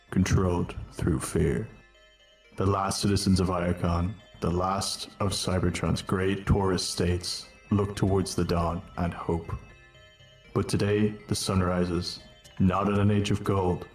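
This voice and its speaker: A man voicing a weary, noble robot war commander in a cartoon fan dub, grave, measured and earnest. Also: sits low, darkish tone. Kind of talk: dramatic first-person narration in a cartoon fan dub.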